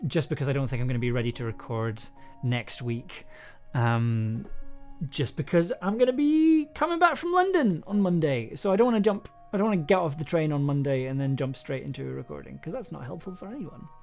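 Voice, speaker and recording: A man's voice: a little high, expressive, a little fast, vocal fry, a little mellow. A young adult man chatting casually on a livestream, hesitant and rambling as he thinks aloud. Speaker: audio drama producer and streamer. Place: small indoor room at home. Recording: music playing underneath. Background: background music.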